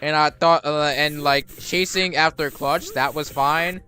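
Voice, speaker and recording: A man's voice: a little high, steady tone, a little slow, bright, forceful tone, soft background music. A young man giving rambling, casual commentary while playing a video game, restarting his sentences and swearing freely. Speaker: gaming streamer.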